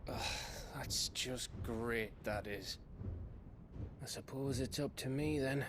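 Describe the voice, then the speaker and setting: A man's voice: mid-range voice, slow pace, noisy background, natural voice. A man with a British accent playing a cheeky, scrappy outlaw scout in a fantasy game, chatty and wry. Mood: wry grumbling. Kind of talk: fantasy video game cutscene acting.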